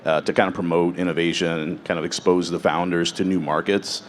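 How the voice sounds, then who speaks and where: Deep voiced guy on a panel, full of uhs and kind ofs, faint hiss. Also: steady tone, a little fast. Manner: filler-heavy, lots of "uh" and "kind of". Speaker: former founder, innovation diplomacy panelist. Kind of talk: conference panel introduction.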